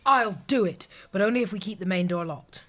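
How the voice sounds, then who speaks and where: A man's voice: high voice, fairly quick, a bit bright. A man playing a timid, literal-minded junior office worker, nervous and politely awkward, in an audio sitcom.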